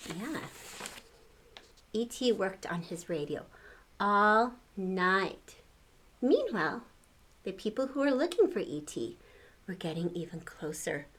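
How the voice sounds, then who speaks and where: A woman's voice: mid-range voice, sing-song, slow, pauses often, a bit bright. An older woman reading a storybook aloud to children, expressively, with exclamations and questions to the listener.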